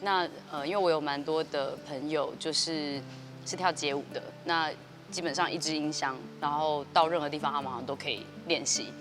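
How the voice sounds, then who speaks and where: Low-voiced taiwanese woman, 30s, talks fast, explaining her project. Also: steady tone, over music. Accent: Taiwanese Mandarin. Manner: fluent, measured explaining with fillers. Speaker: guest, dance company artistic director. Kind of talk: interview answer. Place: indoor TV studio set.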